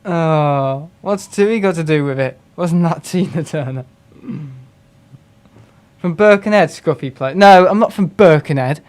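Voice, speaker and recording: A man's voice: on the high side, a little slow, darkish tone. A young man, chatting casually and self-mockingly while streaming a flight sim game and reading out chat. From England. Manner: chatty, self-mocking, reads chat aloud and laughs often.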